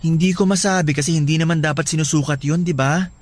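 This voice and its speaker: A man playing a sweet, eager young college boyfriend in a Filipino radio drama, gentle and a little hesitant. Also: fairly high pitch, fairly even intonation, fast talker, lightly acted. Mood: startled, hesitant.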